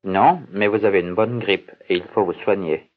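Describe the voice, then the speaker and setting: A man playing a calm doctor examining a patient in a scripted language-course dialogue, speaking in a measured, clear way, each line said twice. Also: low-pitched, natural voice. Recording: tinny, narrow-band old course recording, slightly distant.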